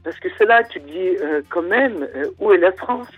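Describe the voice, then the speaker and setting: A woman, a guest in a radio interview, speaking earnestly and haltingly with many restarts. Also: on the low side, lively intonation, slightly rough, narrow, tinny audio, soft background music. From Russia. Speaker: radio guest, former volleyball coach.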